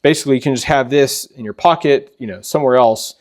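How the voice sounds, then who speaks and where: A man hosting a casual product walkthrough, talking in an informal, halting and rambling way. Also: medium pitch. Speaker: host of a preparedness channel.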